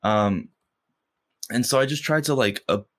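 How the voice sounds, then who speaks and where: A man's voice: mid-range voice, unhurried, a bit bright. Reflective man who stutters. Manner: stutters, with repeated sounds and fillers; reflective, with many "like" and "you know". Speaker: podcast guest. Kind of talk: casual interview chat.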